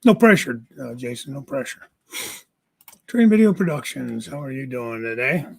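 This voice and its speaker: A man with a raspy voice hosting a casual livestream from home, greeting viewers by name and chatting. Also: mid-range voice, normal speed, darkish tone. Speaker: livestream host.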